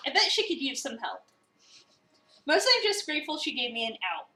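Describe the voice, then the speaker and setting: A woman reading a visual novel as an eager, awkward new cafe employee, chatty, expressive and self-deprecating. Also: sits high, brisk, heavily acted. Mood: wry, curious. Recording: roomy, a bit far from the mic.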